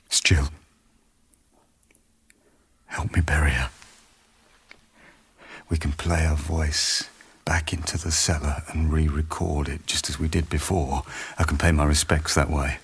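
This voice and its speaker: A man's voice: extremely low pitch, flat delivery, unhurried, long pauses, husky, full character voice. An actor playing an intense, obsessive male scientist full of grief in a radio drama. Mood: grieving, obsessive. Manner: intense, brooding, insistent.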